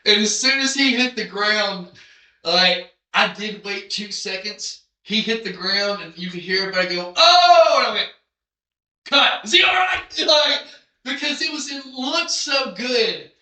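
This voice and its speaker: A man hosting a podcast and interviewing a guest, telling stories in an animated way and jumping up in pitch as he acts out moments. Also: a little slow, distant mic. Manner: animated storyteller, jumps up in pitch when acting out moments. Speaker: podcast host and film director. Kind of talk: casual interview chat. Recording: echoey room, a bit off mic.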